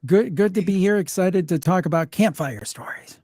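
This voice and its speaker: Man, slightly high pitch, mellow tone, dry close sound, casual podcast guest chat. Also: moderate pace, no room sound. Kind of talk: casual pitch in a live interview.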